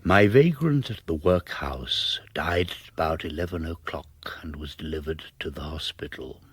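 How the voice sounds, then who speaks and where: Radio drama, methodical English murderer, very deep, a little husky, precise, coldly measured confession. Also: male voice, expressive, no room sound, exaggerated voice. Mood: cold, clinical. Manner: precise, clinical, measured narration. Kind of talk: British radio drama monologue.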